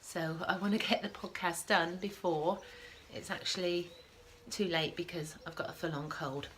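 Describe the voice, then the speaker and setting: A woman's voice: on the low side, fairly even intonation, moderate pace, a bit bright, slight background noise. A woman hosting a casual knitting podcast from home, sniffling with a starting cold and rambling with frequent self-corrections. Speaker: podcast host.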